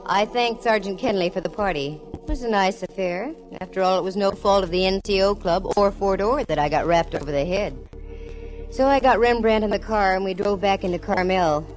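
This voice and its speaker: A woman's voice: medium pitch, brisk, close to an everyday voice, low-quality recording. A woman playing a wisecracking, confident private eye, narrating in wry 1940s radio style. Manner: wry, sardonic. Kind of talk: 1940s radio acting, first-person noir narration. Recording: vintage 1940s-50s radio recording, music behind.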